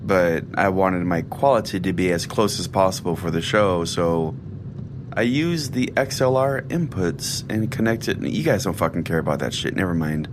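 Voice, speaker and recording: A man's voice: low-pitched, moderate pace, noisy background, close to an everyday voice. A man playing a self-important, sardonic conspiracy-preaching pastor who rambles chattily as host of an audio-drama show. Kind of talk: scripted audio-drama monologue as a talk show.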